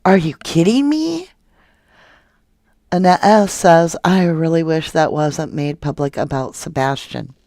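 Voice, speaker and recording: A woman's voice: low-pitched, a little slow, a little mellow, no room sound, clean studio sound. Indignant woman reading viewer comments. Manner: indignant, questioning, rhetorical. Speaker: true crime channel host.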